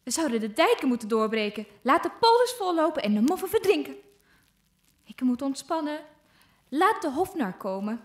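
A woman's voice: high voice, big pitch swings, normal speed. A young woman playing a haughty, bored, callous queen in a 1950s-style radio play, imperious and stately. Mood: impatient, commanding. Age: young adult. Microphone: close studio condenser microphone. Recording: roomy sound with faint noise.

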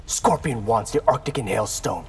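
A cocky young ninja, played by a man, taunts with lively, varied intonation. The game dialogue is direct and challenging over faint music. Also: medium pitch, moderate pace, close to an everyday voice. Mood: taunting. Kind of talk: video game fight intro dialogue. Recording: music behind.

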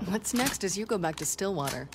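A woman's voice: lowish voice, lively intonation, fairly quick, very noisy, close to an everyday voice. A woman playing a cocky, reckless, tough gang boss in an action video game, with brash, wisecracking delivery. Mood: businesslike.

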